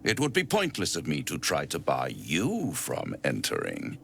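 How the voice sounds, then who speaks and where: Very deep, silky theatrical devil. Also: male voice, huge pitch swings, measured pace, gravelly, a bit bright, a little hiss or hum, full character voice. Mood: sly, theatrical. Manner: theatrical, silky, verse-quoting, grandiloquent. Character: urbane, scheming male devil, theatrical and menacing. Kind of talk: video game dramatic acting.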